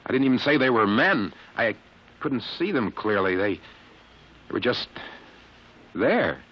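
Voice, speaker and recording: An actor in an old-time radio drama playing a shaken, earnest young test pilot, halting and insistent. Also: male voice, medium pitch, sing-song. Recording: muffled old radio broadcast recording.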